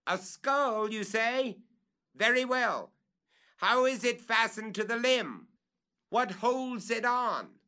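Man playing a feverish treasure hunter, high strained calls, bright edge, storybook reading. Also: slow, smooth, full character voice. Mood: excited, commanding. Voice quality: shouting, high, excited. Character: agitated excited male treasure hunter shouting up a tree.

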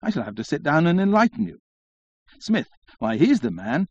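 A man's voice: a little high, sing-song, normal speed, darkish tone, no echo, lo-fi sound, voice pushed up. A man voicing a graceful, coquettish young society lady who chatters in a flighty, scolding, exclamatory way. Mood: flighty, chatty.

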